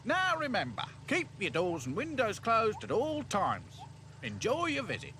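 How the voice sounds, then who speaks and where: An officious gatekeeper from a children's story, put on by a man. He speaks in a raised, sing-song voice, deliberately spelling out each instruction. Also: high-pitched, wildly swooping pitch, pushed and bright, slight background noise, voice pushed up, exaggerated voice. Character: officious male safari park gate attendant.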